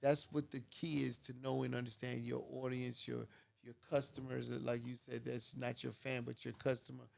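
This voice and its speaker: A man's voice: mid-range voice, little pitch movement, normal speed, gravelly, a little mellow. A man hosting a podcast in a studio, chatting casually with lots of "you know" asides. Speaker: podcast host.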